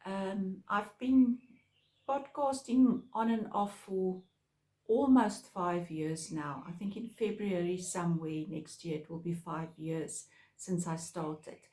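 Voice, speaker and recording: A woman with an Afrikaans accent, whose first language is Afrikaans, chats to her viewers in a slow, halting way, often pausing on fillers. Her voice sits low and mellow. The room around her sounds echoey. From South Africa. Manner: hesitant, many pauses and fillers. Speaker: craft podcast host. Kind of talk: casual chat to viewers.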